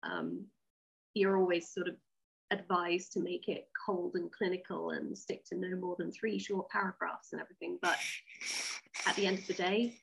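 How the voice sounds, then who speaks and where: A woman's voice: on the low side, lively intonation, moderate pace, vocal fry, husky, highs cut off. A woman talking candidly and with firm opinions as an interview guest, explaining things conversationally. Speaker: interview guest, sci-fi writer.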